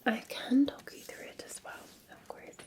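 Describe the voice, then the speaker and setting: A Scottish woman speaking in a soft, breathy whisper, gently and soothingly chatting to the listener as a barista in an ASMR roleplay. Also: moderate pace. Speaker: ASMR performer playing a cafe barista. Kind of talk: ASMR roleplay, unscripted-sounding chat to the listener.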